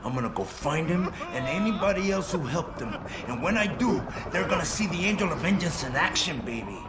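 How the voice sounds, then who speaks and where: A man acting as a cornered, volatile hostage taker in a game. His highish voice swoops around in agitated, cocky street slang, with echo around it. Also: lively intonation, a little fast, heavy background noise, natural voice. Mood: cocky, threatening. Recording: echoey game audio under loud music.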